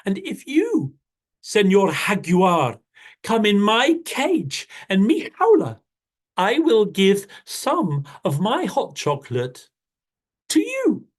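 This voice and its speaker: Storyteller doing a squeaky little rabbit. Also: huge pitch swings, heavily acted. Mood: pleading. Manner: squeaky, rapid, pleading. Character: small tricky pleading rabbit. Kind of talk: bilingual children's storytelling.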